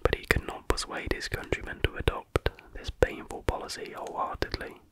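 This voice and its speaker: A person reading a factual text aloud in an unvoiced, breathy whisper, in a careful, measured ASMR style. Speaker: reader.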